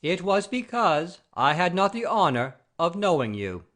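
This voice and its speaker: A man's voice: high-pitched, big pitch swings, unhurried, a bit bright, heavily acted. A man playing an imperious, pompous father-in-law obsessed with honour and rank in a classic comedy audio play. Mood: dictating. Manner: commanding, pompous, formal.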